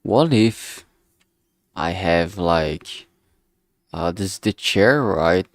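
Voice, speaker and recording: A man's voice: deep voice, lively intonation, extremely slow, no room sound, clean studio sound. A man thinking aloud casually as he works, speaking hesitantly and often trailing off mid-thought. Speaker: streamer making a visual novel.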